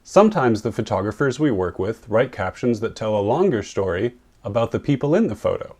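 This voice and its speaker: A man who works as a photographer reads a scripted lesson on writing captions, explaining each point clearly and at a measured pace. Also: on the low side, sing-song, a little mellow. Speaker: photographer and presenter.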